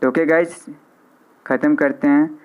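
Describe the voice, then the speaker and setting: A man with an Indian accent teaches an informal online class in a smooth, clean voice that sits a little high. He repeats key phrases and drops in English terms as he goes. The audio is somewhat dull and lo-fi. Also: steady tone, measured pace. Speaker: physiotherapy lecturer hosting a live online class. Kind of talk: informal live lecture with audience questions. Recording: slightly dull, band-limited audio.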